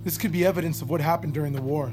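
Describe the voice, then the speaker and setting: An actor playing a cautious, conscientious army psychiatrist in an audio drama, measured and slightly hesitant as he reasons. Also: on the high side, steady tone, over music, lightly acted. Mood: earnest, defensive.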